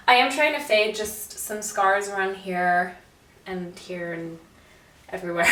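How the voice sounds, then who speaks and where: A young woman with a stuffy, congested voice chats casually and self-deprecatingly to camera. She sounds set back from the mic in a roomy bathroom. Her pitch sits in a middle range. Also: a bit bright. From Canada. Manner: chatty and self-deprecating, laughs at herself. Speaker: beauty vlogger. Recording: roomy, slightly distant audio.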